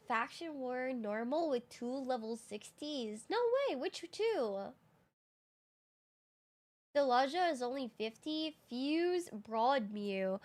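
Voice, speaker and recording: A woman game streamer chatting casually with her viewers while she plays, slightly raspy from just waking up, easygoing and quick to laugh. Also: fairly high pitch. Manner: chatty and easygoing, laughs often, thinks aloud. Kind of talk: casual live-stream chat, answering viewers.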